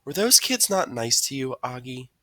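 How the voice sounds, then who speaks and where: This is a man playing a loving mother in an audiobook, pitched high and softly coaxing. She sounds tender and concerned, with animated swoops and a dry, close sound. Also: normal speed, no echo. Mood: concerned, probing. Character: gentle, concerned, affectionate mother of a young boy. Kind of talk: audiobook character dialogue.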